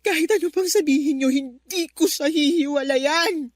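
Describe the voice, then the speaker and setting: Actress playing a high-voiced, emotional betrayed wife. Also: female voice, moderate pace, dry recording, clean studio sound, lightly acted. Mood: defiant. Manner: emotional, pleading, defiant. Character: distraught, stubborn betrayed wife pleading with her mother. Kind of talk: Tagalog radio drama acting.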